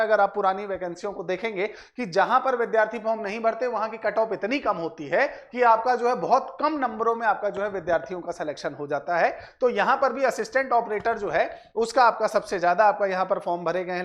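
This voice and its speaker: A man's voice: sits high, a bit bright, highs cut off. A man presents exam analysis like a coach, addressing viewers directly with frequent filler phrases and check tags. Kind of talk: explanatory exam analysis for students.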